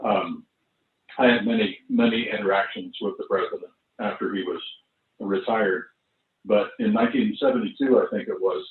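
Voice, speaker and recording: A man in his seventies or older telling personal stories casually, in an unhurried, halting, anecdotal way, over roomy, distant, thin internet audio. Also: on the low side, narrow, tinny audio. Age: 70s or older. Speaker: veteran performer telling stories. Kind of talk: casual reminiscing at an online launch party.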